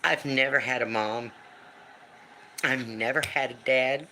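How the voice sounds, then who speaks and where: A woman in her fifties with a deep, smoky voice talks slowly and with hard, forceful edges on a live stream. She is blunt and emphatic, swears without pause, and hammers her points home through repetition. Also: fairly even intonation, a little hiss or hum. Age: 50s. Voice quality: deep smoker's voice. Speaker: livestream host. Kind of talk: emotional live-stream venting. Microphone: phone or webcam for a live stream.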